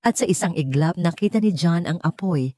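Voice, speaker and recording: Woman, Filipino accent, dramatic story narrator, lower pitch, dry recording. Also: animated, fairly quick, warm tone, dry recording.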